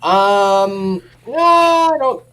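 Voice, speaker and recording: A man speaking as a company representative in a casual product chat, informal and hedging, quick to chuckle. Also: high-pitched, animated, bright, forceful tone.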